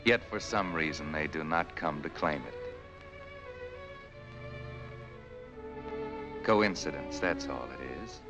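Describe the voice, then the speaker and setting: An actor playing a sinister, all-knowing narrator of dark tales in a 1940s film noir, speaking in a measured, ironic manner. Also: male voice, very deep voice, expressive. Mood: sardonic. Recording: vintage 1940s film soundtrack. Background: music.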